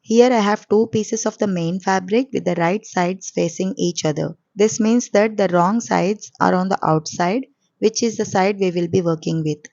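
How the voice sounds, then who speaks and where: A woman hosting a sewing channel, explaining each step calmly in a voice-over, her voice coarse and hoarse from a cold. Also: mid-range voice, moderate pace, dry recording, muffled recording.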